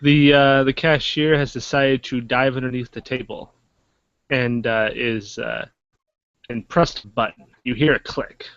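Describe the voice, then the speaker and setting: A man at a mid pitch narrates a tabletop game as its game master. He stammers and restarts his sentences as he describes what happens. The audio is dull and lo-fi. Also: fairly even intonation, a little slow, a bit bright, no echo.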